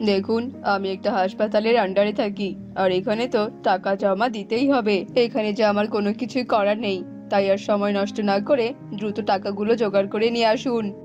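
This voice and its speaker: A woman's voice: medium pitch, fairly even intonation, normal speed, lo-fi sound, natural voice. A woman voicing a stern, formal, unbending hospital doctor in a cartoon. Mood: firm. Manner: formal, matter-of-fact. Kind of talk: cartoon voice acting. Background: music.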